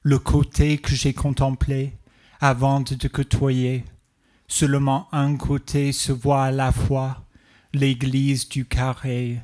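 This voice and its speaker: A man in his thirties from the Toronto area of Canada performs spoken-word poetry in measured short phrases with deliberate pauses. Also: medium pitch, flat delivery, a little mellow. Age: 30s. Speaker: poet and performer.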